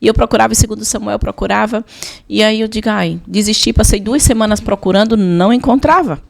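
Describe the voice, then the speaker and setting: A woman's voice: medium pitch, quick pace, vocal fry. A woman with a Brazilian accent telling personal testimony as a podcast guest, emphatic, repeating phrases and voicing her own prayers.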